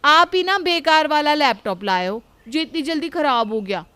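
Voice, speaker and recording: Woman playing a high-voiced, meddlesome young daughter-in-law. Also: animated, normal speed, sharp tone, dry recording. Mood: defensive.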